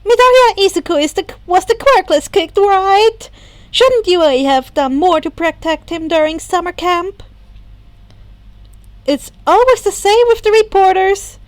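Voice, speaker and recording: A woman voicing an earnest, persuasive teenage boy in an audiobook, with an excited, emphatic delivery. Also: very high-pitched, unhurried, dry recording, pitched above the performer's own voice. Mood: mocking.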